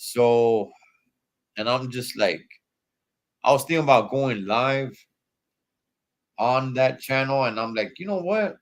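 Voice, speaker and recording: A man's voice: medium pitch, slow, pauses often. A man with a stuffy nose from allergies, hosting a casual wrestling livestream from a hotel room in laid-back slangy talk. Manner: laid-back slangy talk, calls viewers dog and Holmes.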